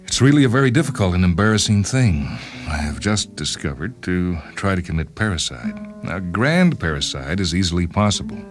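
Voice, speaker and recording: A man with a deep voice narrates literary fiction. His delivery is measured and wry, shaped for dramatic storytelling. Soft instrumental music plays behind him. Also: big pitch swings. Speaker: narrator reading a short story. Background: instrumental music with guitar.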